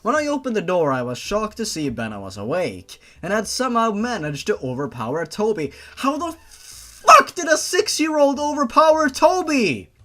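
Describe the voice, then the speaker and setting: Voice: medium pitch, big pitch swings, moderate pace, sharp tone. A narrator reading fan fiction dramatically, with a theatrical, sarcastic manner. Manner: theatrical, sarcastic, sweary outbursts. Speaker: narrator and commentator.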